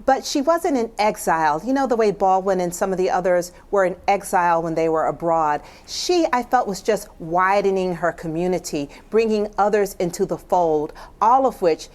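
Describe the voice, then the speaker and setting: A woman's voice: lowish voice, normal speed, hardly any pauses, a little mellow. A woman with an American accent speaking as a writer and guest in a thoughtful broadcast discussion.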